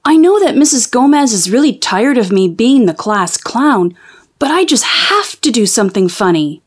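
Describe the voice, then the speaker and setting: A woman's voice: on the high side, very animated, normal speed. A woman voicing a mischievous young schoolboy, the class clown, confessing earnestly in a children's storybook. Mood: sheepish.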